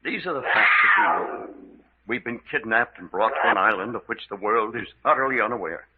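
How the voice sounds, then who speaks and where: A serious male investigator in a radio adventure drama, analytical and deliberate, explaining things like an educated leader. His voice is husky, and the recording is very muffled and narrow. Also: mid-range voice, animated. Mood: grave, deliberate. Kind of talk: old-time radio drama acting.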